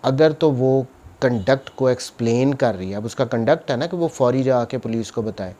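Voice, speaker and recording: Pakistani guy teaching law, soft dark mellow voice, explains step by step, drops in english terms. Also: mid-range voice, moderate pace. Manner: didactic, asks questions and answers them himself; mixes English legal terms into speech. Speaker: law lecturer, advocate. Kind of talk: explanatory lecture, reading statute aloud and explaining.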